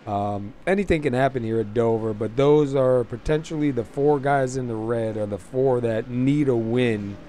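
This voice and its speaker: A man with a hoarse, fading voice hosting casual, halting race commentary in an informal way. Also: medium pitch, mellow, slight background noise. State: hoarse, losing his voice. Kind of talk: casual sim racing commentary.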